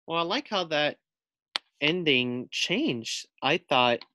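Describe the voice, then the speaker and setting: Guy teacher doing a storybook read aloud for kids, takes his time, expressive, asks questions. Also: fairly high pitch, slow, slight background noise. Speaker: teacher reading to pupils. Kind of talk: read-aloud of a children's picture book with commentary.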